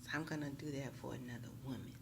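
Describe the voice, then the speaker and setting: An American woman talking casually and emphatically to her viewers in a slangy, animated storytime style, often checking in with them. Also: very deep voice, quick pace, creaky, noisy background. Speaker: YouTube tarot reader.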